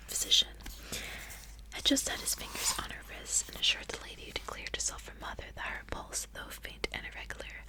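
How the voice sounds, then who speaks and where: Whispering woman reading ASMR. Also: low-quality recording. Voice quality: breathy unvoiced whisper. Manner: casual playful asides between read passages. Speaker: ASMR host.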